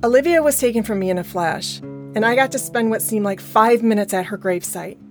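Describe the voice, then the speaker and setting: A woman's voice: on the high side, a little fast, close to an everyday voice. A woman playing a guilt-ridden, grieving and protective surrogate mother in an audio drama, speaking in an emotional, troubled way. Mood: anguished. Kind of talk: naturalistic audio drama acting. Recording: guitar music under dialogue. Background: plucked guitar music.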